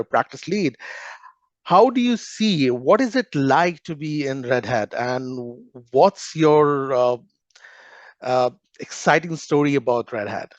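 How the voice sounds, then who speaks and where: A man's voice: fairly high pitch, dry recording, muffled recording. A man hosting an interview podcast, introducing his guest hesitantly with many fillers. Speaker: podcast host.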